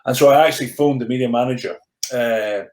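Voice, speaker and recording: A man's voice: mid-range voice, quick pace, darkish tone. A man in his thirties with a mostly controlled stammer, speaking in an ordinary mid-range voice. He tells his story earnestly and reflectively, with frequent restarts and repeated words. The sound is echoey, as if recorded in a bare room. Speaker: podcast guest, rugby player turned coach. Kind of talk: candid personal storytelling in conversation.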